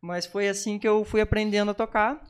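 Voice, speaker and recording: A man's voice: sits high, fairly even intonation, fairly quick, smooth. A man telling personal stories as a podcast guest, informal and conversational.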